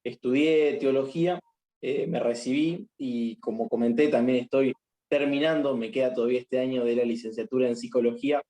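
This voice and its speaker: A young man with an Argentine accent speaks over a video call, modest and friendly, pausing on fillers. His voice is dark and mellow at a middle pitch. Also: steady tone. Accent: Argentine Spanish. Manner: warm, modest, with many fillers. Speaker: chaplain and speaker. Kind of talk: casual introduction before a talk.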